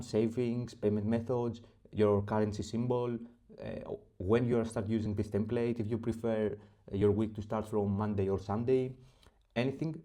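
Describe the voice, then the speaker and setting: A man from Greece with a Greek accent, walking through a spreadsheet tutorial step by step, pausing often with fillers. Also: medium pitch, mellow. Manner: methodical step-by-step explaining with frequent pauses and fillers. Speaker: spreadsheet template creator. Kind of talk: screen-recorded software tutorial.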